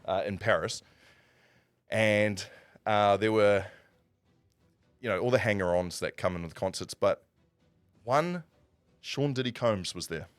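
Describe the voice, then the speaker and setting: A man with a New Zealand accent telling stories casually as a livestream host, in an animated raconteur's way with dramatic pauses. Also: fairly low pitch, clear, resonant tone, no room sound. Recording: music playing behind the voice. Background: background music.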